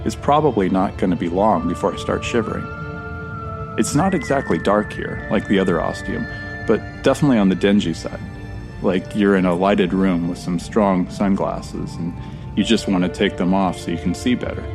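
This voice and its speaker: An earnest American man lost in time, played by an actor. He speaks in a low, conversational voice, as in a first-person audio drama, with clear music under him. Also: normal speed. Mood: uneasy, narrating. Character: stranded American male time traveler, friendly and uneasy.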